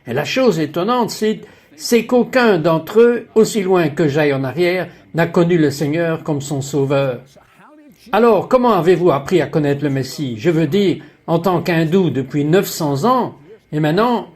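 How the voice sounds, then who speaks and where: A man with a slightly high voice reads a dubbed religious interview. He delivers questions and personal testimony as a voice-over. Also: a little mellow.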